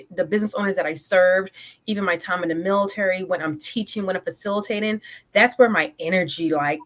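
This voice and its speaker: A woman in her forties from Guyana, a guest on a podcast interview, talking eagerly and energetically, often stopping to correct herself. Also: lowish voice. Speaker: guest, business coach and military veteran.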